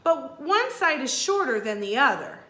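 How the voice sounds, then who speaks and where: Woman doing an earnest, animated young storybook boy. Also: high voice, moderate pace, reverberant, pitched above the performer's own voice. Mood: excited.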